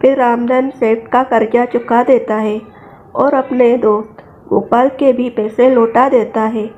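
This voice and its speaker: A woman's voice: fairly high pitch, steady tone, a little slow, darkish tone, dull audio. A woman narrating a scripted children's story.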